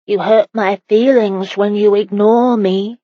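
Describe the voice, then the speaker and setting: Casting: anxious young medieval wife played by a female narrator, emotional and stubborn, husky breathy voice, dry muffled audiobook sound. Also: medium pitch, steady tone, a little slow, no echo, voice pushed up, lightly acted. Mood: timid, defensive.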